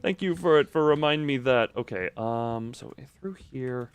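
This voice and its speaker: A man's voice: medium pitch, normal speed, clear, resonant tone, no echo. A man doing casual live video game commentary, rambling playfully to his viewers and repeating himself as he exclaims.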